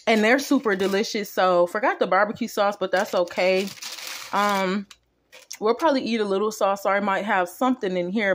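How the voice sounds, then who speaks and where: Chatty down-to-earth woman vlogger. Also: medium pitch. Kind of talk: casual food vlog chat. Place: home kitchen counter, indoors.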